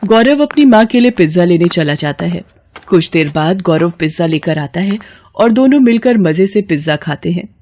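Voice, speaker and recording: A woman's voice: fairly low pitch, expressive, moderate pace, darkish tone. A woman storyteller reading scripted narration for a moral bedtime story.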